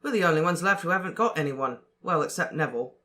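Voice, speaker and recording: A reader doing a loud, excitable teenage boy. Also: mid-range voice, quick pace. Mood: gossipy. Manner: loud, agitated, exclaiming.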